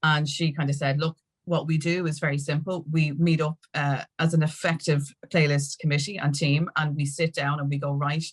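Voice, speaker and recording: A woman's voice: low-pitched, little pitch movement, moderate pace, hardly any pauses. An Irish woman telling her story as a podcast guest in casual conversation, acting out what people said as she goes. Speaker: podcast guest, music industry activist.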